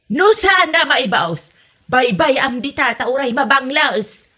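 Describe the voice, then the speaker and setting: Woman acting a high-pitched, stingy mother-in-law. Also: expressive, a little slow, sharp tone, full character voice. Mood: grudging, scolding. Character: stingy, grumbling older mother-in-law and aunt. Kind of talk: comedy radio drama acting.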